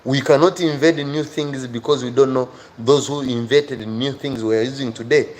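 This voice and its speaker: A man's voice: medium pitch, steady tone, normal speed, a little mellow, a little hiss or hum. A man with an African accent giving a motivational live lesson to viewers as their teacher, earnest and emphatic, repeating key phrases.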